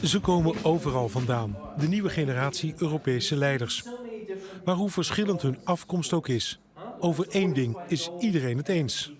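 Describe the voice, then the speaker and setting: A man's voice: on the high side, lively intonation, normal speed. A Dutch man reading scripted news narration as a reporter.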